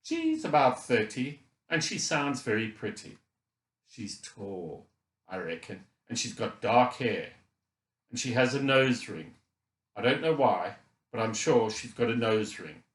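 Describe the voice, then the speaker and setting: A man's voice: medium pitch, sing-song, measured pace. Man voicing a frustrated, chatty boy, roomy sound. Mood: fond. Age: child. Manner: chatty, colloquial, candid. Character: injured boy in a coma, frustrated, sarcastic, thinking aloud. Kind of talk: first-person audiobook narration.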